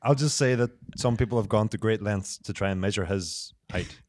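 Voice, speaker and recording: Deep-voiced man explaining at length. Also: moderate pace, a little mellow, no echo. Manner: analytical, builds long explanations with restarts. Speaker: podcast co-host. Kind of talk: casual podcast chat.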